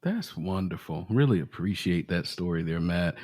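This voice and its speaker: A man's voice: deep voice, lively intonation, normal speed, a little mellow, dry recording. A man co-hosting a casual interview podcast, speaking in an affirming, appreciative way. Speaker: podcast co-host.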